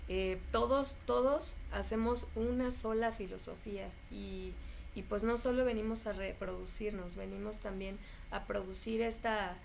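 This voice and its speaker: A woman's voice: medium pitch, steady tone, a little slow, vocal fry, a little hiss or hum. A woman hosting a conversation, speaking reflectively and repeating words as she gathers her thoughts. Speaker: host.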